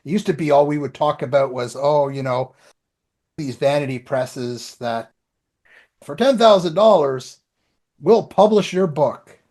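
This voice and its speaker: Higher-voiced man in casual podcast chat. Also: unhurried, darkish tone. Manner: colloquial, acts out remembered exchanges. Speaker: podcast co-host and author.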